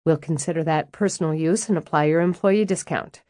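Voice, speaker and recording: A woman voicing a brisk, buttoned-up office manager who talks in crisp, dry, clipped lines. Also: deep voice, a little mellow, dry recording, natural voice.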